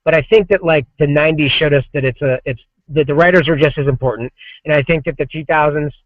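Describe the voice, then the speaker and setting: A man's voice: fairly high pitch, fast, no room sound. Dry lo-fi forty-year-old man rambling. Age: 40s. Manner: rambling, many restarts and fillers. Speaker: comics creative director, guest. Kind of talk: casual podcast interview. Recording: dry, dull lo-fi sound.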